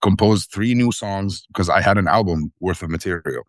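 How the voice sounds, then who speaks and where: Deep-voiced man, dry sound. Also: normal speed, no echo. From Syria. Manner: rambling, earnest, restarts often. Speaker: band founder and vocalist. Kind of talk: interview guest, casual storytelling. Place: living in the Netherlands.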